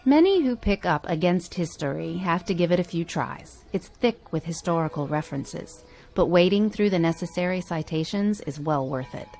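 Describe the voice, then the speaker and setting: A woman's voice: lowish voice, lively intonation, moderate pace, a little mellow. A woman podcast host reading a scripted book review in the style of prepared narration.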